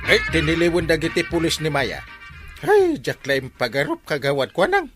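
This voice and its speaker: An actor with an Ilocano accent playing an excitable, exclamatory village captain in a comic radio drama. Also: male voice, fairly high pitch, normal speed, loud music behind the voice. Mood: concerned. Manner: exclamatory, blustering, sputtering.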